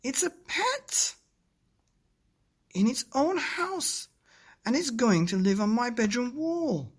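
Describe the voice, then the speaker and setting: A man voicing an excitable, eager little girl in a children's storybook, breathless and emphatic. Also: very high-pitched, slow pace, breathy edge, voice pushed up, exaggerated voice. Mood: delighted, amazed.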